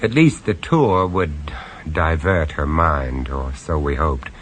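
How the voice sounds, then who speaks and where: A grave storyteller spins a horror tale for an old radio play. His voice sits very low, yet it rises and falls widely as he builds dread, and he turns dryly sarcastic when he talks to the others. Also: expressive, a little hiss or hum, heavily acted. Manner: measured, dramatic storytelling with dry sarcasm. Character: grave, wry male storyteller on a castle tour. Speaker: first-person narrator of a radio horror story. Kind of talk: 1970s radio drama narration.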